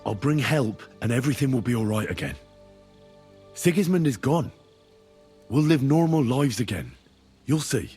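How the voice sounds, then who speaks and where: A man's voice: mid-range voice, animated, normal speed, long pauses, mellow, faint music behind, close to an everyday voice. A man playing an earnest, brave young medieval adventurer in a game, plain-spoken and reassuring. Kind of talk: dramatic game cutscene acting.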